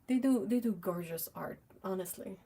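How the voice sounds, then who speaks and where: A young French Canadian woman hosts a relaxed painting livestream and chats with her viewers as she works. Her voice is soft and slightly breathy and sits at an ordinary middle pitch. She reads questions from the chat, trips over her words and laughs easily. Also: moderate pace. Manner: chatty, reads chat aloud, laughs often, self-interrupting. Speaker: livestream host painting and chatting.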